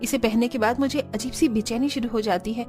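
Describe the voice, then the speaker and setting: A woman voicing a gentle, troubled young daughter-in-law in an animated story. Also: a little high, a little fast, soft background music, close to an everyday voice. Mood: uneasy. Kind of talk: animated story character dialogue.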